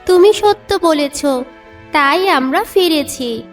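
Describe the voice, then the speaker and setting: A woman's voice: extremely high pitch, lively intonation, takes it slowly, faint music behind, heavily acted. A woman voicing a solemn, moralising magical heron in a cartoon fable. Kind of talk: cartoon moral tale acting.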